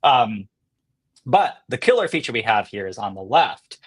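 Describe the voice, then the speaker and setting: Guy on a podcast doing a casual product demo, voice a bit on the low side. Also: sing-song, moderate pace, husky. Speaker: podcast guest, company co-founder and CEO. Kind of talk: casual live software demo, conversational explaining.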